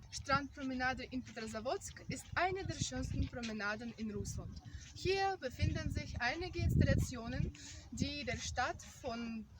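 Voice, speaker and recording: High, lively Russian-accented woman guide. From Karelia, Russia. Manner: explanatory, slightly halting in her second language. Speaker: travel vlogger and tour guide. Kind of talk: guided travel narration. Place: outdoors, lakeside and island sightseeing spots. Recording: distant outdoor camera mic with loud background noise.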